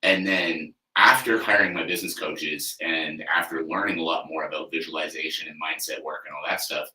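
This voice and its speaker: A man's voice: a bass voice, steady tone, normal speed, sharp tone. A man in his thirties, a coach hosting his own podcast, talking loosely and conversationally with lots of "you know" and "like" and the odd swear word. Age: 30s. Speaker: athlete coach, podcast host. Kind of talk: casual solo podcast monologue. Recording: echoey room, mic at a distance.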